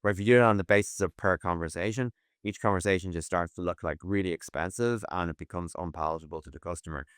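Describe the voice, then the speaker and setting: A man's voice: sits low, quick pace, smooth, no room sound, studio quality. A man speaking as a tech executive guest in a conversational interview, often repeating the starts of his words.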